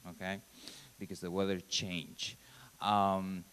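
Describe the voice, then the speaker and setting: A man in his thirties from Argentina, a native Spanish speaker with an Argentine accent, giving an informal mission talk at church in halting, simple English. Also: low voice, flat delivery, a bit bright, clean tone. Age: 30s. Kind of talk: church presentation. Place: church sanctuary. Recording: long hall reverb.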